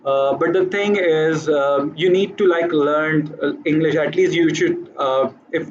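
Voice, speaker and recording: A man's voice: on the high side, steady tone, a little slow, dull audio, background noise. A man with an Urdu accent, a native Urdu speaker, giving a hesitant motivational talk to camera, full of fillers and restarts. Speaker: vlogger.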